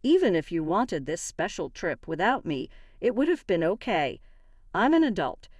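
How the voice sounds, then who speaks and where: Woman acting an indignant wronged wife, lively intonation. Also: on the low side, normal speed, no room sound, studio quality. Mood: hurt, reasoning. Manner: argumentative, emphatic. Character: wronged, indignant young wife confronting her in-laws. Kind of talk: acted dialogue in a narrated story.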